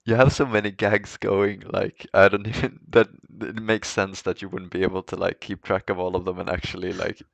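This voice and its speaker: A man with a low voice and plenty of vocal fry chats casually as a podcast co-host. His delivery stays fairly even, in a dry, close recording. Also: a little fast, hardly any pauses, dry recording.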